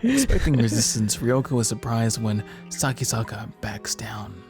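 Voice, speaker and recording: A man's voice: fairly low pitch, big pitch swings, moderate pace, darkish tone, faint music behind. A man narrating a visual novel aloud and chatting casually in a let's-play.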